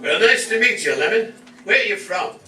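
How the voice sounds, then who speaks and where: A man doing a bright weary wagon master. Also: high voice, lively intonation, moderate pace, distant mic, faint music behind, exaggerated voice. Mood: folksy. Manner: drawling, exasperated. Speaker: amateur stage actor. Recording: roomy stage from afar, audience noise.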